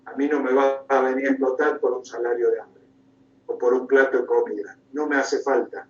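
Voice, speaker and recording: A man's voice: medium pitch, steady tone, a little fast, a little hiss or hum. A man with an Argentine Spanish accent giving an impassioned political lecture, emphatic and oratorical, with repeated phrases and rhetorical questions. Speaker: guest lecturer, political activist. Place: indoor room, recorded at a conference. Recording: roomy, distant mic, dull sound.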